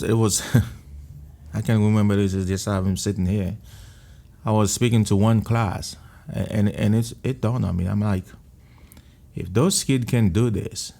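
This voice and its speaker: Gravelly low-voiced Haitian man telling his story. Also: mellow. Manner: halting, repeats words, earnest. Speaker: restaurant owner and missionary. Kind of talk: personal storytelling in an interview. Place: restaurant.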